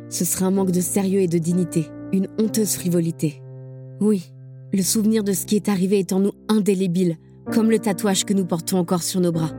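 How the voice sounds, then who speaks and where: A woman's voice: lowish voice, lightly acted. A woman playing a resolute camp survivor who urges remembrance, speaking in an earnest, insistent way in an audio drama. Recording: music playing behind the voice.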